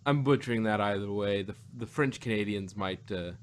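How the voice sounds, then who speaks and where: A man's voice: on the low side, normal speed, a little hiss or hum. A man co-hosting a casual review podcast, rambling and often rephrasing as he goes. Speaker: podcast co-host reviewing audio drama. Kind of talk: casual chat.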